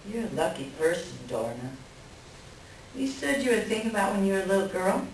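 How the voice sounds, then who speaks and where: A woman playing a tender, plain-spoken friend in a staged play reading, gently questioning. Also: mid-range voice, big pitch swings, brisk, close to an everyday voice. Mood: gentle curiosity. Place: library reading before a microphone at some distance. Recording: very echoey room, distant mic, faint noise.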